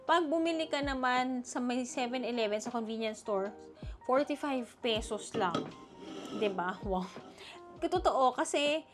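A woman's voice: fairly high pitch, unhurried. Chatty woman travel vlogger over music. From Philippines. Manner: chatty, animated, mixing Tagalog and English. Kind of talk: casual vlog chat with budget talk.

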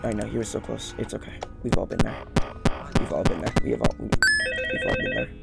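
A man's voice: lowish voice, huge pitch swings, brisk, vocal fry. A young man giving casual gameplay commentary, muttering to himself and repeating phrases. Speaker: gaming video host. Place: indoor room, beside a plain wall. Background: game music.

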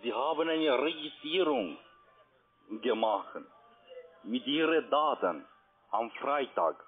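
A man who is not a native German speaker making a sales pitch by phone. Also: expressive, slow. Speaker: cold-calling salesman. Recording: narrow tinny phone line.

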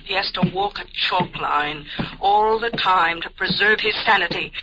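An actress playing an earnest, pleading telepath, hurt but loving, with intense old-time radio drama delivery. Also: female voice, mid-range voice, lightly acted. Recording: noisy, dull vintage radio recording.

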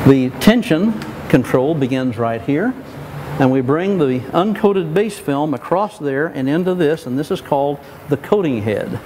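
An older American man in his sixties or seventies explains a process in a measured, plain-spoken way, as if guiding a tour. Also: a little high, a little mellow, a little hiss or hum, low-quality recording. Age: 60s or 70s. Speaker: company president and factory guide. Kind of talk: explanatory factory tour talk. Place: large indoor industrial factory hall.

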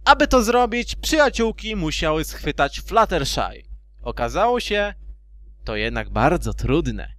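High-pitched man narrating, very expressive. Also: measured pace. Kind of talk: animated storybook narration for amateur fan dub.

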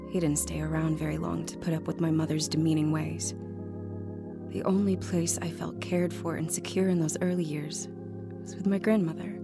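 A woman playing a reflective adult narrator in a radio drama, measured and wistful in first person. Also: low voice, creaky. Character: reflective adult woman recalling a hard childhood.

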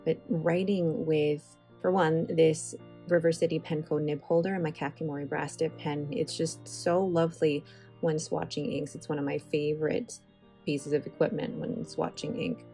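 A woman's voice: low-pitched, flat delivery, normal speed, vocal fry, dark tone, faint music behind. Chatty woman narrating an ink swatch. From Filipino heritage. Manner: chatty, enthusiastic, rambling asides. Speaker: stationery channel host. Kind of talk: casual voiceover while swatching inks. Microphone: voice-over recorded separately.

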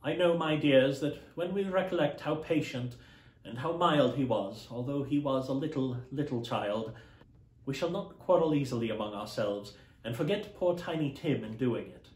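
A man voicing a gentle, grieving working father who speaks earnestly and tenderly. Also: medium pitch, moderate pace, lightly acted. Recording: roomy echo.

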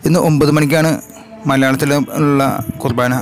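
A man with a Malayali accent narrating a travel vlog slowly and in measured, short separated phrases. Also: on the high side, steady tone. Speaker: vlogger. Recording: loud music over the voice. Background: loud music.

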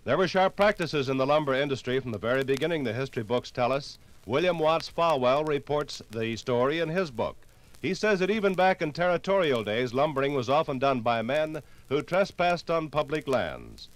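An American man reading a scripted 1950s radio documentary in a formal, measured, authoritative way. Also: mid-range voice, lively intonation, soft background music, low-quality recording. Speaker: narrator. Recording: vintage 1950s radio LP recording.

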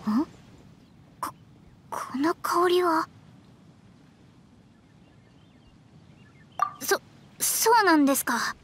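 A woman's voice: very high-pitched, takes it slowly, a bit bright, a little hiss or hum, exaggerated voice. A woman voicing a proud young astrologer in a Japanese anime game, prim and polite but stammering when flustered. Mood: bashful, proud. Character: proud young female astrologer, easily flustered, putting on dignity. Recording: music behind the voice.

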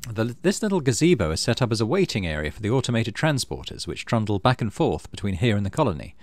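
Lively-toned man with a low voice. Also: fast talker, no room sound. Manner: thinks aloud while playing. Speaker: let's play host. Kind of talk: casual game commentary and reading game text aloud.

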